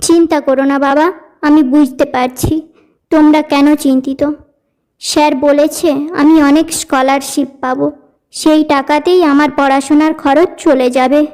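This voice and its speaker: A woman's voice: sits high, steady tone, measured pace, darkish tone. A woman voicing an earnest, studious, caring teenage village girl in a cartoon story. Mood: reassuring. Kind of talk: scripted cartoon dialogue.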